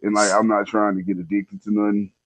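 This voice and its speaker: A man's voice: on the low side, little pitch movement, fairly quick, dry recording, low-quality recording. A man in his twenties chatting casually as a guest, candid and rambling, with a lot of slang. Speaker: guest telling personal stories. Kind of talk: casual chat.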